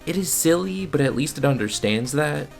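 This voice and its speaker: A man's voice: fairly high pitch, expressive, normal speed, creaky, loud music behind the voice. A man narrating a scripted video review with casual asides, in a blunt, exasperated manner.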